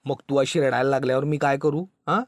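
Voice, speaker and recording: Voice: medium pitch, steady tone, quick pace, no echo, studio quality, natural voice. A narrator voicing a meek, simple young husband who falters and tries to placate. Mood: anxious, pleading.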